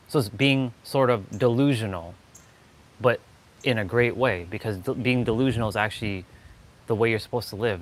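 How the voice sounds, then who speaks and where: A man's voice: medium pitch, fairly bright tone. A man with a Canadian accent giving an impromptu, insistent motivational monologue on a livestream, repeating phrases for stress. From Toronto, Canada. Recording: clearly noisy outdoor ambience.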